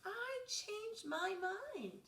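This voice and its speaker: A woman's voice: very high voice, lively intonation, very slow, a bit bright, voice pushed up, full character voice. A woman voicing an eager, pestering young boy at a sleepover in a storybook, with insistent, exaggerated questions. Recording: roomy echo, distant mic.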